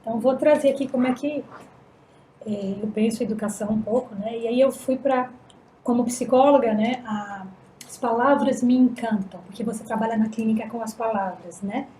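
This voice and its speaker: A middle-aged Brazilian woman with a mellow, fairly high voice talks through ideas in a reflective way, checking in with "né?" as she goes. Her voice rings in an echoey room, with a faint hum of ambience behind it. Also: normal speed. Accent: Brazilian Portuguese. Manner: thoughtful, often adds "né?". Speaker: psychologist guest on a panel podcast. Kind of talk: reflective panel discussion.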